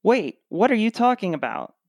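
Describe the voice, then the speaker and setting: Grumpy exasperated woman, acted out by a woman, dramatic, medium pitch, dry close sound, radio comedy. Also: big pitch swings, moderate pace, a little mellow, no echo. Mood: impatient. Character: exasperated, grumpy woman who dislikes people.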